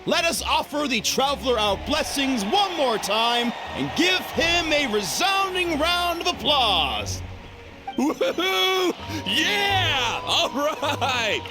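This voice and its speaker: A rousing festival announcer from a video game, calls out over music. His very high voice swings widely as he proclaims with ceremony and joy. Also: very animated, exaggerated voice. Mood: jubilant. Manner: shouted, drawn-out proclaiming. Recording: music behind the voice.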